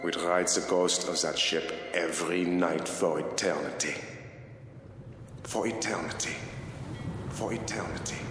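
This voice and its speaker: Very deep, guilt-ridden naval lieutenant. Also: male voice, steady tone, unhurried, pauses often, music in the background. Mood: ominous, fervent. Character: troubled, guilt-ridden, mystical young German naval lieutenant. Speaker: actor. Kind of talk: dramatic radio drama acting.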